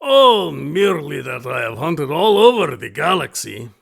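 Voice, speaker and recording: Gravelly, grandiose alien hunting aristocrat. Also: male voice, a little high, big pitch swings, exaggerated voice. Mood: boastful, grandiose. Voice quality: gravelly, raspy. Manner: florid, theatrical, deliberate. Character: alien aristocratic hunting fanatic, obsessive, grandiose, menacing male host. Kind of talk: audio drama villain acting.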